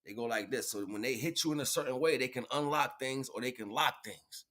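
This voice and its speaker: A man's voice: mid-range voice, a little fast, fairly bright tone. A man giving an improvised spiritual, motivational livestream talk in an emphatic, preachy way, repeating phrases for effect.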